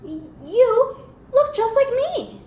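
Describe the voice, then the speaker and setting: A woman's voice: very high voice, very animated, slow pace, darkish tone, pitched above the performer's own voice, heavily acted. A woman voicing a shy, insecure little male pug in a storybook, speaking in earnest blurts. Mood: amazed. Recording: roomy echo, some background noise.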